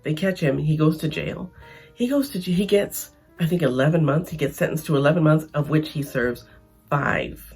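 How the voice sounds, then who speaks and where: A Canadian woman talks to her viewers in a chatty, emphatic vlog, her voice gravelly and raspy from losing it. She restarts her sentences often as she makes her point. Faint music plays behind her. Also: low-pitched, normal speed, darkish tone. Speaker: vlogger. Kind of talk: casual opinion vlog.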